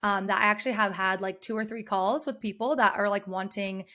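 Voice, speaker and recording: A woman hosting a podcast in casual chat, her voice a bit hoarse, telling stories in a bubbly, animated way. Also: mid-range voice, brisk. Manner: bubbly, animated storytelling with many "like" fillers. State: slightly hoarse. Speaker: podcast host, career coach.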